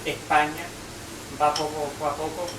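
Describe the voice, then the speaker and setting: A man making measured, formal remarks at a dinner gathering. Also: fairly high pitch, background noise. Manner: measured, formal, flat delivery. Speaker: dinner guest. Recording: echoey room, distant mic, clatter.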